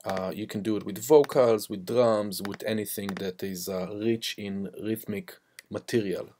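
A man teaching music production, walking through software steps in an informal, instructional way. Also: lowish voice, steady tone, unhurried, slight background noise. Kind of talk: step-by-step software tutorial. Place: voice-over to a screen recording.

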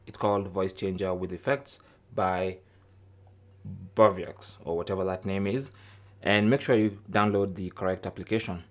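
A man's voice: deep voice, fairly even intonation, normal speed. A man hosting an informal tech tutorial, explaining things casually step by step. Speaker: host of a tech tips show.

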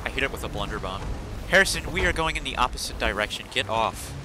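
A man's voice: medium pitch, lively intonation, fast. A young man chatting casually with friends while playing a game, joking and calling out directions. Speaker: gamer. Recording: game chat with noise behind.

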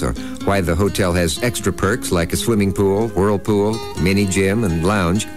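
A man reading a scripted commercial voice-over in a wry, conversational way. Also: low-pitched. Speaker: commercial announcer. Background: guitar music.

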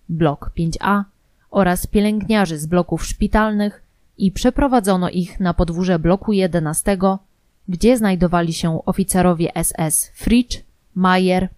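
A woman's voice: lowish voice, darkish tone, no echo. A woman narrating a scripted historical true-crime audio programme.

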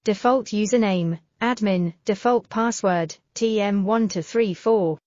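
A woman reading a scripted software tutorial in a measured, instructional manner. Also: medium pitch, dry recording, muffled recording. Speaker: tutorial narrator.

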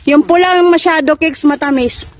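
A chatty woman in her thirties films herself on a farm, her high voice quick to laugh. The sound is caught on a handheld phone outdoors with faint wind and ambience. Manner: chatty, laughs easily, excited when pointing things out. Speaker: vlogger. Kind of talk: casual chat while picking fruit. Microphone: handheld phone camera.

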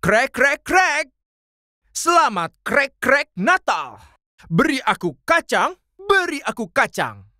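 A woman voicing a jolly cartoon nutcracker and Santa with a booming ho-ho laugh. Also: big pitch swings, takes it slowly, pushed and bright, no echo, heavily acted. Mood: chanting. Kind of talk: cartoon voice acting.

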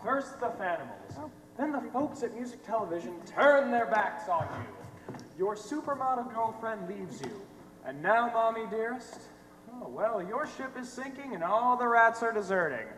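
Young man acting a high-pitched, mocking stage villain. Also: lively intonation, normal speed, fairly bright tone. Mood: taunting. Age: young adult. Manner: theatrical, mocking. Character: sardonic, taunting showman, cruelly mocking tormentor. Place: theater stage, filmed from the audience. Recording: echoey theater stage, noisy hum.

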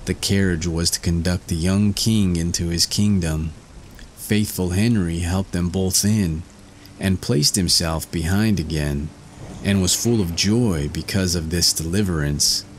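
Deep, slow male storyteller. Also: slight background noise. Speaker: storyteller, narrator. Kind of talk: scripted fairy-tale narration.

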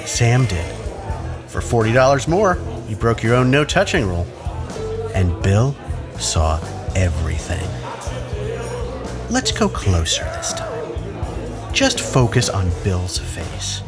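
Loud music surrounds a man acting as a sinister male tormentor in an audio drama. He taunts slowly and deliberately, swooping theatrically from a low register up and down. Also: wildly swooping pitch, full character voice. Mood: cruel, taunting. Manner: drawn-out, taunting delivery.

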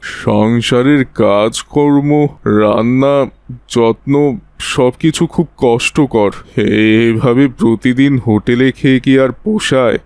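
Man playing a slow-spoken, weary old villager. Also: mid-range voice, a little mellow, smooth, no room sound. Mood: weary brooding. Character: pious elderly rich village widower, weary and fatherly. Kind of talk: cartoon story voice acting.

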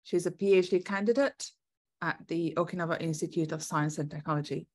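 A woman's voice: on the low side, a little fast, darkish tone, no room sound. A woman moderating an online research seminar who introduces speakers politely, with frequent small fillers. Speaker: event moderator.

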